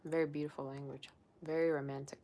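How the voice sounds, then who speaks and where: Low-voiced woman chatting on a livestream. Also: flat delivery, fast, dark tone. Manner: chatty and rambling, with many "like" fillers. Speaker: psychic tarot reader hosting a livestream. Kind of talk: casual live-stream chat, reading viewer comments aloud.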